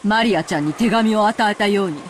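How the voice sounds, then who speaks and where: A woman playing a sharp-minded, assertive sister from a wealthy family in a Japanese visual novel. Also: medium pitch, very fast, slight background noise, natural voice. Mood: decisive, probing.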